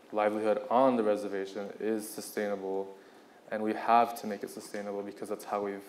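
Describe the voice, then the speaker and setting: A man with a fairly low voice and very flat intonation gives an unscripted, earnest panel talk. He phrases his thoughts haltingly and reflectively, over some background noise.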